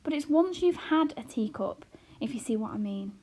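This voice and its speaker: A woman's voice: on the high side, animated, normal speed, dark tone. A woman reading aloud and voicing an anxious, fretful tiny mother who exclaims and frets, in a storybook reading. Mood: fretting. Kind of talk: audiobook character reading.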